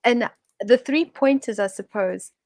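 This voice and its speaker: A woman's voice: fairly high pitch, a little slow, a little mellow, no echo. Thoughtful woman guest, dry close sound. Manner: thoughtful, expansive, with repeated restarts. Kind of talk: reflective online conversation. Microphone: video call.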